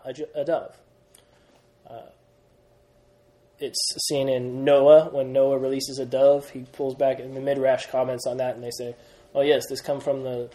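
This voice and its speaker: A young man leading a Bible study class, energetic, quizzing the group and often restarting his sentences. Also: medium pitch. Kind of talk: classroom Bible lesson with questions.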